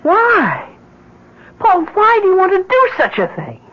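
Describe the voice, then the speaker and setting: A woman's voice: very high-pitched, huge pitch swings, a little slow, full character voice. An actress playing an anguished, obsessed grieving mother in an old-time radio drama, pleading intensely. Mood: accusing, pleading. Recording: muffled, noisy old radio recording.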